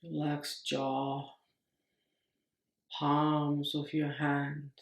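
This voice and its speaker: A woman meditation teacher leading a guided meditation, giving gentle, unhurried instructions in short phrases. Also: low voice, monotone, echoey room.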